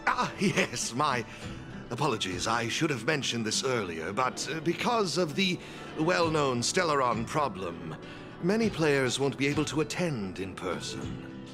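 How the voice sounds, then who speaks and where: An actor playing a flamboyant masked gentleman in a video game, formal, courteous and theatrical, like a showman. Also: medium pitch, very animated, a little slow. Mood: apologetic. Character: flamboyant masked gentleman, smooth event sponsor and gaming enthusiast. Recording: background music throughout.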